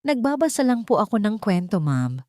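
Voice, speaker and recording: A woman voicing a calm, respectful female housekeeper. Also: mid-range voice, normal speed, dry recording, clean studio sound, voice pushed up, lightly acted. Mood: polite. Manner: respectful, composed.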